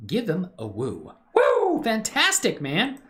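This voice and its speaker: A woman's voice: mid-range voice, wildly swooping pitch, slow pace, fairly bright tone. A woman hosting a casual livestream, chatting to viewers in a friendly, exclamatory way. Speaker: live streamer hosting own channel. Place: indoors at a computer.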